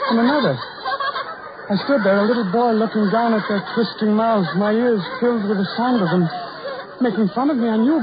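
A man's voice: sits high, noisy background. An actor playing a tormented man mocked all his life as the ugliest man in the world, muttering a broken inner monologue in 1940s radio acting. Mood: anguished. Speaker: narrator-protagonist. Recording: muffled 1940s radio recording.

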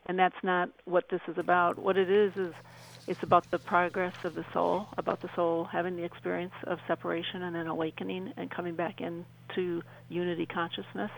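A middle-aged woman explaining earnestly as a radio interview guest, halting and repeating phrases for emphasis. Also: fairly low pitch, level tone, gravelly, slight background noise, low-quality recording.

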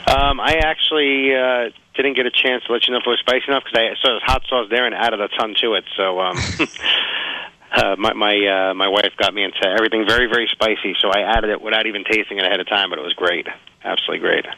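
A man joining a casual radio chat, talking in a quick, amused way. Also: lowish voice, steady tone, sharp tone, dry recording, rough recording.

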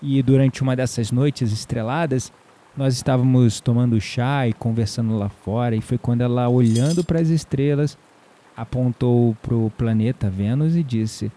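Um ator brasileiro interpretando um homem tranquilo, brincalhão e sedutor numa áudio série, em tom de conversa. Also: male voice, medium pitch, moderate pace, warm tone, natural voice. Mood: relaxed, fond. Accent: Brazilian. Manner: teasing, chuckling, conversational. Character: easygoing, flirtatious man, herb grower, playful and warm. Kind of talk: audio drama dialogue.

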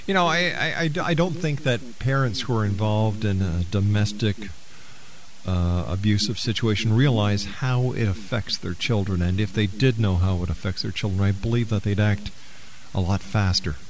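A man hosting a radio talk show, speaking in a deliberate, earnest way. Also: on the low side. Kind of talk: radio interview and show announcements.

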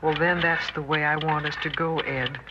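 A woman's voice: sits low, steady tone, moderate pace, natural voice. An actress playing a fearful, fiercely protective mother in 1960s radio drama, tense and insistent in clipped phrases. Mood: frightened, resigned. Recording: very noisy, muffled old radio recording.

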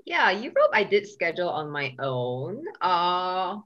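A woman's voice: fairly low pitch, sing-song, a little slow, fairly bright tone, lo-fi sound. A woman from Singapore, a touring stand-up comedian, telling stories in a casual podcast chat. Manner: chatty storyteller, swearing and acting out quotes.

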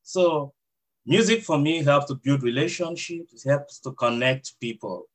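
A man with a Nigerian accent, a hospital chaplain, answering interview questions in a reflective, measured way with frequent fillers. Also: on the high side.

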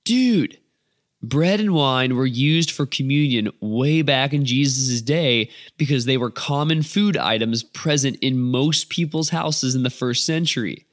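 A man's voice: mid-range voice, a little slow, close to an everyday voice. A man doing a laid-back young dude. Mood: casual.

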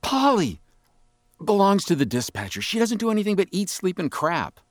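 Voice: mid-range voice, big pitch swings, moderate pace, no room sound, studio quality, lightly acted. An actor playing a skeptical, exasperated police interrogator in an audio drama, with terse questions that can turn flustered and emphatic. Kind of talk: audio drama dialogue acting.

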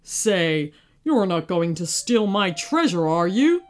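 Storybook dragon, played by a woman, huge and ill-tempered, snapping, with slow, heavily swooping delivery. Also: on the low side, big pitch swings, voice pushed down. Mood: grudging, apologetic. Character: huge bitter dragon, gruff and wary of thieves. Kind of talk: children's storybook character voice.

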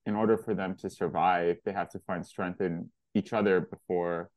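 A man's voice: deep voice, fairly even intonation, moderate pace, a little mellow, dry recording. A man from Pakistan, a film director, answering interview questions in a reflective way with frequent fillers and restarts.

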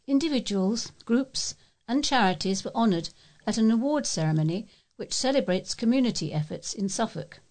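A woman's voice: on the high side, lively intonation, moderate pace, a little mellow. A woman reading local news aloud as a volunteer newsreader.

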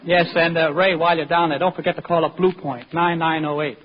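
A man's voice: on the high side, flat delivery, fairly quick, lightly acted. A man playing a breezy, teasing sidekick with a jaunty way of delivering gags in old radio comedy. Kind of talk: 1940s radio comedy acting. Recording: muffled, noisy old radio recording.

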